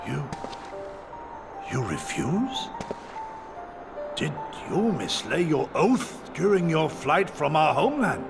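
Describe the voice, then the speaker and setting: A man playing a hearty, honour-bound warrior retainer with a booming gravelly voice and formal archaic speech, in a video game. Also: very animated, takes it slowly, long pauses, over music, exaggerated voice. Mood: stern. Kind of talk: theatrical video game voice acting.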